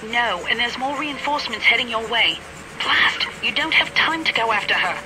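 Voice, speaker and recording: Woman acting as a brisk businesslike mission radio operator in a video game, clearly noisy background. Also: on the high side, lively intonation, close to an everyday voice. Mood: urgent, brisk. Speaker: video game supporting actress. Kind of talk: scripted game cutscene acting. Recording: noisy helicopter radio scene.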